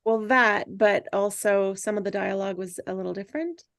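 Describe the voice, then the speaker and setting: A woman's voice: medium pitch, fairly quick, gravelly, fairly bright tone, dry recording, studio quality. A woman talking casually and thoughtfully in a group discussion, as one of its hosts. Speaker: table read host who cast the reading.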